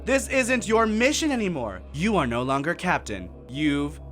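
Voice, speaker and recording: A hot-headed, scornful linguist acted by a man with a highish voice that swings animatedly. He argues emphatically and defiantly, in radio drama style, over faint music. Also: sing-song, normal speed, a bit bright. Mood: angry defiant. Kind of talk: audio drama acting.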